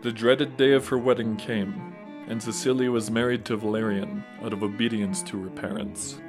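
A man's voice: on the low side, steady tone, normal speed. A man reading scripted narration of a saint's life as the narrator of an audio drama. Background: plucked guitar music.

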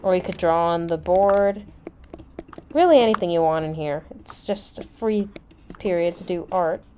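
A woman's voice: lowish voice, moderate pace, warm tone, lightly acted. A woman playing a helpful school teacher in a casual improvised game roleplay. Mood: explaining. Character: attentive school teacher and lunch server at private school. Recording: noisy home mic with room ambience.

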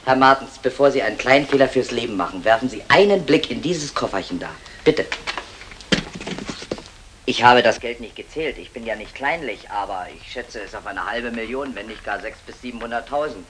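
An actor playing a gallant, cheeky burglar with a mock-courtly, talkative manner in a 1960s German crime radio play. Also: male voice, medium pitch, animated, brisk, fairly bright tone, natural voice. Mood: suave persuasion. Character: smooth-talking, gallant male burglar, cheeky and unflappable. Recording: noisy vintage 1960 radio recording.

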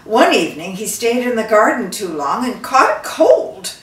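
An older woman reading a story aloud expressively, with deliberate, measured phrasing. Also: on the high side, sing-song, a bit bright. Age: older, 60s or 70s. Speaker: storyteller, reader. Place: living room by a fireplace. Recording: roomy echo, distant microphone.

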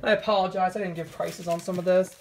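Creaky-voiced woman chatting casually. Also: fairly low pitch, steady tone, fast, a little hiss or hum. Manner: hedging, informal, rambling. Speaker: vlogger. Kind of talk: casual haul vlog chat. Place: indoors at home, over a table of groceries.

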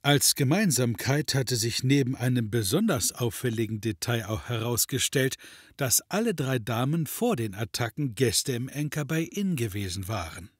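An actor playing the wry narrator of a detective comedy audio drama, reading scripted narration. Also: male voice, mid-range voice, lively intonation, moderate pace, breathy edge, no room sound, studio quality. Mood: dryly ironic.

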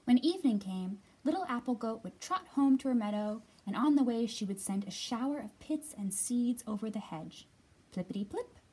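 A woman's voice: on the high side, lively intonation, moderate pace, mellow, slightly rough, rough recording. A woman in her thirties hosting a children's storytime, reading and talking expressively to young listeners as a librarian. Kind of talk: picture book read-aloud and storytime talk for young children.

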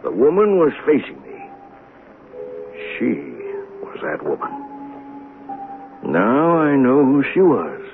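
A man's voice: mid-range voice, wildly swooping pitch, slow, long pauses, soft background music, full character voice. An actor playing an earnest train conductor in a 1970s radio mystery, telling his story reflectively and questioning pointedly. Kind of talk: 1970s radio drama acting, narration and dialogue. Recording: noisy, muffled phone-like 1970s radio broadcast.

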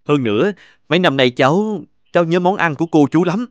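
An earnest young businessman in a cartoon, acted by a man, with a fairly high voice. He is polite and grateful, in a very dry recording. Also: lively intonation, fairly quick, no room sound, lo-fi sound, close to an everyday voice. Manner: polite, sincere.

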